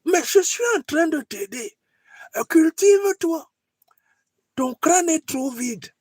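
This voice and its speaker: Casting: aged dictator mentor, mocking and scolding in a very high voice, satirical skit, close dry sound. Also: male voice, lively intonation, takes it slowly, a little mellow, dry recording, exaggerated voice. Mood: imperious, scolding. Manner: exclaiming, scolding, repeats himself. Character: old dictator grand master, weary, imperious, mocking mentor.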